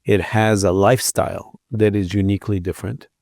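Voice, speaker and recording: A man in his sixties, raised in Israel and then the American Midwest, answering interview questions in a measured, polite, modest way. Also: on the low side, little pitch movement, vocal fry, a little mellow, no room sound, studio quality. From Israel, raised in Minneapolis from age twelve. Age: 60s. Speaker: architect guest. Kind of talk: interview conversation.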